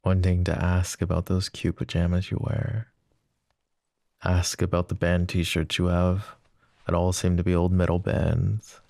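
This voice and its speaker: A man voicing a lovesick, possessive young mafia boss in an ASMR roleplay, speaking softly and intimately, often close to a whisper. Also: low voice, completely flat delivery, unhurried, vocal fry, no echo. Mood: tender, yearning. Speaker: voice actor.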